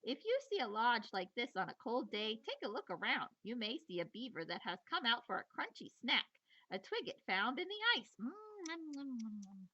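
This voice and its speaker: A woman teacher reading a children's nature book aloud in an expressive, playful storytelling manner, with chatty asides. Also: fairly high pitch, moderate pace, breathy edge, lo-fi sound.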